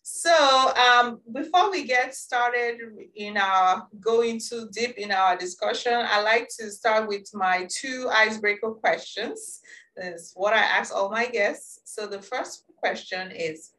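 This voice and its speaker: A woman's voice: on the high side, slow, fairly bright tone, distant mic, low-quality recording. A woman hosting a show, chatting casually and eagerly with her guest, laughing often. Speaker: podcast host. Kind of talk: casual interview chat.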